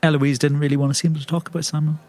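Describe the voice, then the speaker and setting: A man playing an eager, scheming investigator in an improvised tabletop game, wheedling and theatrical as he flatters people. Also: a little high, racing, close to an everyday voice. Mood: coaxing.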